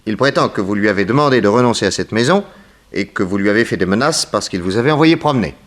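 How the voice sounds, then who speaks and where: Actor as shrewd, animated low-voiced police investigator. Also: male voice, fast talker, natural voice. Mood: probing. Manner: pointed, authoritative questioning. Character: shrewd, insistent male police investigator questioning a suspect. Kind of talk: 1960s French radio drama acting.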